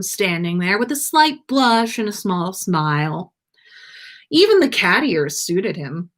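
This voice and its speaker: A woman reading fan fiction aloud as a podcast host, in an expressive narrating style. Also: mid-range voice. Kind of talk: expressive story narration on a livestream.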